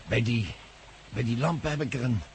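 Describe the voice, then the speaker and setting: An actor playing a wounded, frightened man in a radio drama, weak and in pain, speaking in halting, broken phrases. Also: animated, natural voice. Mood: shaken, halting. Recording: noisy radio play, vehicle rumble.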